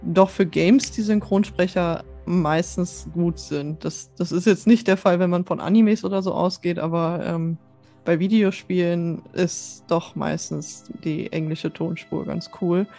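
A woman answering a survey question, talking quickly with laughs and many fillers. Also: lowish voice, steady tone, creaky, mellow, soft background music. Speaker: interviewee.

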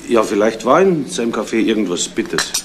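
An actor playing a plain-spoken, laconic police detective in a 1970s radio crime play. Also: male voice, fairly low pitch, very animated, fairly quick. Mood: probing. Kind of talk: 1970s German radio crime drama acting. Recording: slightly noisy old radio recording.

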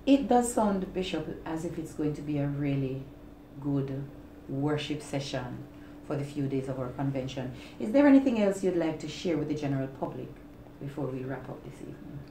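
A woman's voice: sits low, moderate pace, dark tone. A woman conducting an interview, asking formal and polite questions. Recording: roomy office echo, faint noise.

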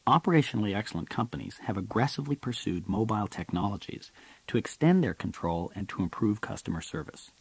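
A man narrates a nonfiction audiobook in a fairly low, husky voice with noticeable fry. His intonation moves around a lot as he stresses key points in an explanatory, scripted read. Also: lively intonation, fast talker, no echo. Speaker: author reading own audiobook.

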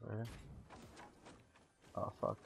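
A man's voice: very slow, faint music behind. A man playing an online game, giving casual, slangy and profane callouts to his teammates. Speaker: video game podcast member playing online. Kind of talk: casual gaming chat with team callouts. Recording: faint noise and clicks on voice chat.

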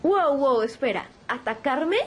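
A woman's voice: sits high, lively intonation, measured pace, slight background noise. A woman playing a sweet, bewildered young girl in an audio roleplay, with a hesitant, naive manner. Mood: confused, alarmed. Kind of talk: ASMR audio roleplay acting.

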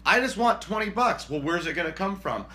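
A man in his forties chatting casually at home on a couch, rambling and self-deprecating, with a booming voice when worked up. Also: expressive, a little fast, pushed and bright. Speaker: co-host, husband. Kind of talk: casual chat. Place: living room couch, indoors.

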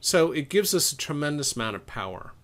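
A middle-aged man narrating a step-by-step software tutorial in an informal, explanatory way, thinking aloud as he goes. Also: a little high, very animated, brisk. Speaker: laundry equipment expert, tutorial presenter.

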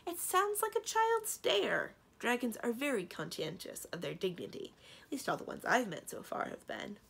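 A strong-willed young princess from a storybook, played by a woman reader. She is brisk and matter-of-fact, lecturing with emphatic swoops of pitch. The voice has a little gravel in it. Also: very animated, fairly bright tone, heavily acted. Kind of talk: storybook character dialogue.

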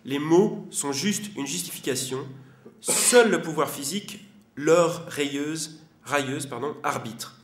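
A man's voice: fairly high pitch, animated, a little slow. A man voicing a grumpy, many-clawed monster who declaims a lofty challenge. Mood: defiant. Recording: echoey room.

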